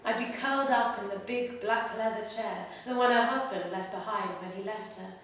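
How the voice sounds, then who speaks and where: A woman performing a literary short story at a live reading, in an expressive, measured way with pauses between phrases. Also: mid-range voice. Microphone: distant microphone. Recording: very echoey room, distant mic, faint noise.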